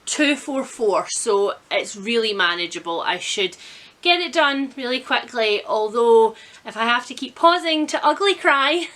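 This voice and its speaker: A woman vlogging at home in a casual, chatty, expressive and self-deprecating way about her reading. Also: fairly high pitch, unhurried. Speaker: vlog host. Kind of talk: casual vlog chat about a reading marathon. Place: indoors at home.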